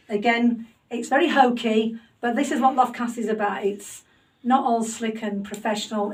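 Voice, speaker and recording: A woman's voice: mid-range voice, a little mellow, recorded from a distance, low-quality recording. A woman hosting a homemade podcast interview in her loft, chatty and self-deprecating with plenty of fillers. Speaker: podcast host. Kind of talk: casual interview chat. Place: home loft.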